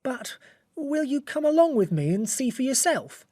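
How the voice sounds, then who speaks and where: A man's voice: very high voice, very animated, moderate pace, mellow, dry recording, exaggerated voice. Anxious butler acted by a man for audiobook drama: servile, dogged, frightened, recorded dry.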